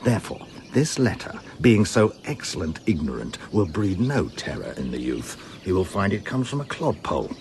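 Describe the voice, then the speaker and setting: A jolly, scheming knight, acted by a man, with a booming, mocking manner. His voice swings in a lively way between hushed plotting and grand declamation. The delivery is that of Shakespearean comedy, over a faint hiss. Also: moderate pace, few pauses. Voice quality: booming and mocking, with hearty laughter. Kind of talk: Shakespearean stage acting.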